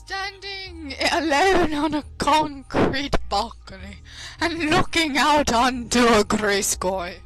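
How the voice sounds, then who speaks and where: A woman with a high voice reads visual novel narration aloud at a slow pace. She is a co-reader on a let's play, with faint music playing behind her. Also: animated, fairly bright tone, poor audio.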